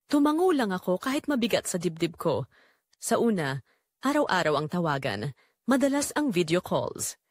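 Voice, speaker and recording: A woman's voice: medium pitch, dry recording, studio quality. A woman reading a scripted first-person romance story in a dramatic storytelling manner. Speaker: audiobook narrator.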